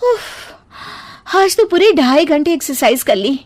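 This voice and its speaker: A woman voicing a chirpy, fitness-mad young bride in a storybook, fussy and a little ditzy. Her voice sits high and bright above the narration. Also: sing-song, unhurried, dry recording.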